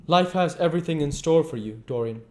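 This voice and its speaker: A man voicing a witty, worldly, flattering lord in storybook dialogue, with an urbane, persuasive and emphatic delivery. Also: a little high, a little fast, voice pushed up, natural voice. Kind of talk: storybook dialogue read aloud.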